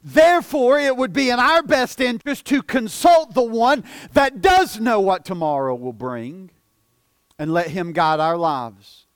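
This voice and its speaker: A man of forty preaching a sermon as pastor, earnest, often repeating and stumbling over words, dropping soft for emphasis. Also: high voice, animated, a little slow, smooth, dry recording. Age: 40s.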